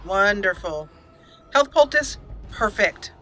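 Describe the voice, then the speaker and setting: A woman's voice: mid-range voice, very animated, takes it slowly, a bit bright. A woman hosting a let's play, chatting casually and self-mockingly as she plays, often giggling. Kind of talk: casual gameplay commentary. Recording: faint game music behind. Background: game music.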